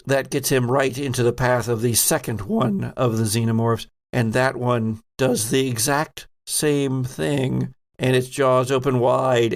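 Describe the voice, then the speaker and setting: A man's voice: medium pitch, gravelly. A man running a tabletop horror game as game master, narrating in a descriptive, suspenseful way.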